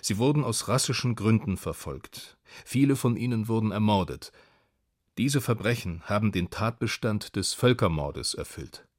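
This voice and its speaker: A man reading documents aloud in a slow, solemn way. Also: on the low side, lively intonation, no room sound, studio quality. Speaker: quote reader.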